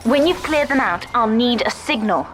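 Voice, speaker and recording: Earnest, higher-voiced young daughter played by an actress. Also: female voice, expressive, normal speed, over music, lightly acted. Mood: determined urgency. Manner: earnest, urgent, articulate. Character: devoted, determined young daughter guiding her father. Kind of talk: dramatic video game voice acting.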